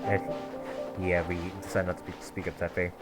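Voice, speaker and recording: A man's voice: low-pitched, level tone, a little fast. A man playing a game story quest and chatting casually, muttering and reading game text aloud with little stumbles. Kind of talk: casual gameplay commentary. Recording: loud game music behind.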